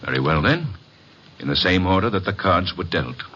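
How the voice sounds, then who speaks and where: Deep, formal, suave club host. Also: male voice, animated, sharp tone. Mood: suave, commanding. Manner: formal, precise, measured phrasing. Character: suave, formal male club owner hosting a card game. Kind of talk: old-time radio drama acting. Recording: dull, hissy vintage radio recording.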